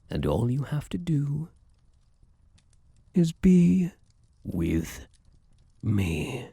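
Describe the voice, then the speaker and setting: A man acts out a smug, possessive male spirit of luck in an intimate ASMR roleplay. He takes his time, with a gravelly creak and pitch that swoops theatrically. The manner is coaxing and suave, with menace underneath. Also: mellow, no room sound, studio quality, exaggerated voice. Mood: seductive, coaxing. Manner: theatrical, coaxing, old-fashioned flowery slang and endearments.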